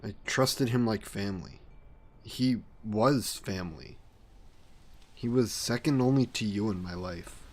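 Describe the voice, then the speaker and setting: A man voicing a grieving vampire king who talks slowly and with remorse about his past. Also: on the low side. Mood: remorseful. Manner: slow, brooding, remorseful. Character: grieving regretful vampire king, former ruler. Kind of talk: audio roleplay narration.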